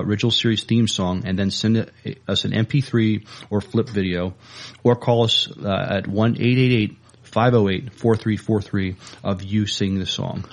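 A man co-hosting a podcast in casual chat, with a hesitant, halting delivery. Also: fairly low pitch, creaky. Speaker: podcast co-host.

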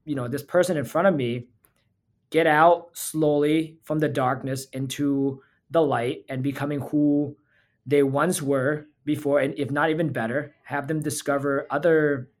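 A man's voice: mid-range voice, fairly even intonation, normal speed. A man from Thailand, a physical therapist talking as a podcast guest in an earnest, reflective way. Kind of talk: casual interview talk.

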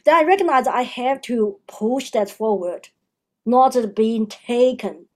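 A woman with a Chinese accent, a non-native English speaker, answering interview questions haltingly with many fillers and restarts. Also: a little high, animated. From China. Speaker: guest.

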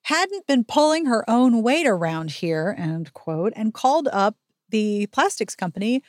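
A woman's voice: on the high side, big pitch swings, a little slow, dry recording, clean studio sound. A woman hosting a casual, chatty book recap podcast, speaking in an emphatic, sarcastic and sweary way. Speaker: podcast host recapping a novel.

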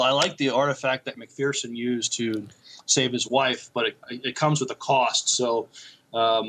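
Halting man with a medium-pitched voice. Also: fairly bright tone, a little hiss or hum, rough recording. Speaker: podcast co-host. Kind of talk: casual TV show discussion.

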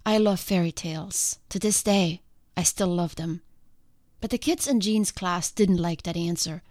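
A woman speaks close to a podcast microphone in a calm, earnest and reflective way, hosting her own solo show. Her voice sounds congested from a sinus infection, and the recording is dry, with no room sound. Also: lowish voice, unhurried, dry recording. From outside the country she now lives in. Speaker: podcast host.

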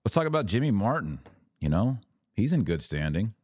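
A man with a low-pitched voice hosts a live show in a conversational, direct style. He urges his listeners along as he moves through comments and commentary. The sound is dry, with very little room in it. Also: big pitch swings, brisk, a little mellow, husky, no room sound. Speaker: podcast host. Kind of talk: casual live commentary, reading and answering viewer comments.

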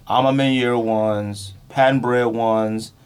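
A man chatting casually about sneakers in an animated, emphatic, slangy way, like a podcast co-host. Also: mid-range voice, slow pace, clean tone. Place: podcast studio.

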